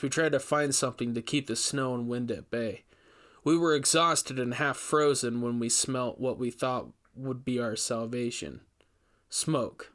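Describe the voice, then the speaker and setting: Man acting as Daniel Blake, measured investigator narrator. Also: mid-range voice, fairly bright tone, close to an everyday voice. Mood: tense, recounting. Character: Daniel Blake, male investigator recounting a frightening ordeal. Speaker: narrator reading a story. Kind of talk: dramatic first-person scripted narration.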